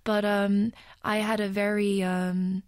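A woman from the Netherlands in her thirties, a musical actor talking as a guest in a radio interview, thoughtful and pausing often. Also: mid-range voice, level tone, darkish tone, dry recording, studio quality. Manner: thoughtful, with many pauses and "um"s. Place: radio studio. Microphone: studio microphone on a stand.

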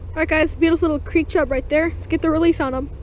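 An excited fishing vlogger narrating catches on a creek bank, calling out to the viewers as guys, recorded on a body-worn action camera. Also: high voice, fast. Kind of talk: excited first-person fishing commentary. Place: outdoors at a small creek bank. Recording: dull lo-fi camera audio with faint noise.

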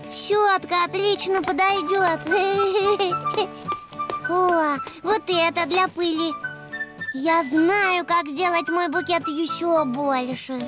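A performer voicing a cheerful, eager little donkey boy in a preschool cartoon, exclaiming and thinking aloud in a childlike way. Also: very high voice. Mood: busy, musing.

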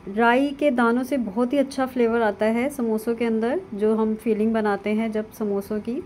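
A woman, calmly narrating a cooking lesson step by step over video of her hands at work. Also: on the high side, moderate pace, slight background noise. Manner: calm, instructive, with frequent fillers. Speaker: cooking channel host. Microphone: voice-over over hands-only video.

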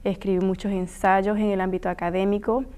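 A woman's voice: mid-range voice, level tone, a little fast, a little mellow, smooth. A woman, a guest author answering questions in a small TV studio interview, thoughtful and earnest. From Puerto Rico. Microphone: lapel mic.

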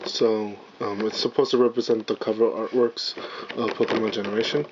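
Hoarse, raspy-voiced man unboxing cards. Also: on the low side, little pitch movement, brisk. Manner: chatty, apologetic, lots of fillers. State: hoarse, partly lost voice. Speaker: channel host, card unboxer. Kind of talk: casual unboxing vlog. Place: indoors at a table, camera on the cards. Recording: dull lo-fi home recording with faint hiss.

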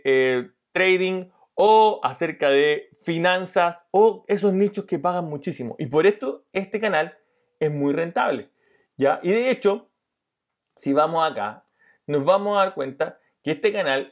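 A middle-aged man coaching viewers through a screen-share tutorial, explaining step by step with fillers and restarts. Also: high-pitched. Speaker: YouTube money-making coach.

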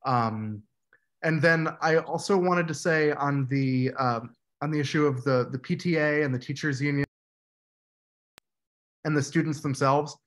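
Man hoarse on a video call. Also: a little high. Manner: measured, explanatory, says "right?" often. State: losing his voice, clearing throat. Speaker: workshop facilitator. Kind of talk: online workshop talk. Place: room at home, indoors.

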